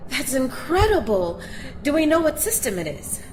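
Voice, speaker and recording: A woman's voice: high voice, sing-song, slow pace, exaggerated voice. A woman playing an earnest, intrepid field reporter giving a live report from aboard a spaceship in an audio drama. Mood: awed. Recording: noisy spaceship ambience behind voice.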